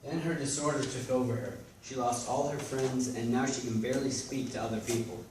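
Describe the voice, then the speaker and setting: A man's voice: medium pitch, fairly even intonation, brisk, lightly acted. A man acting in readers theatre with a dry, matter-of-fact delivery. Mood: earnest. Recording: very echoey room, distant mic, noise.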